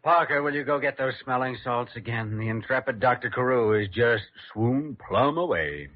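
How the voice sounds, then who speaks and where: An actor playing an amiable, gently droll young doctor, in 1940s radio drama. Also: male voice, mid-range voice, animated, moderate pace, phone-quality sound, close to an everyday voice. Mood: amused. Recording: thin, band-limited old radio recording.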